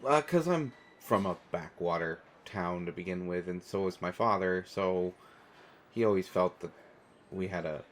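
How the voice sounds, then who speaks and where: A man's voice: deep voice, slight background noise. A man playing a shy, awkward, kind stranded traveler in an audio roleplay, hesitant, stammering and apologetic.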